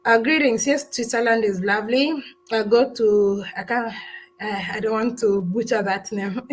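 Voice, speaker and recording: A woman's voice: a little high, low-quality recording. A woman hosting a travel live stream, chatting casually and rambling through viewer comments with lots of restarts and "I don't know". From Kenya.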